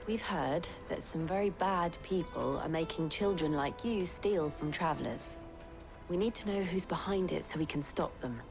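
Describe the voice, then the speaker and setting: An actress playing a composed, determined and gently kind woman adventurer in a fantasy video game. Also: fairly low pitch, normal speed, music in the background, close to an everyday voice. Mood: gentle. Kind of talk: dramatic video game acting.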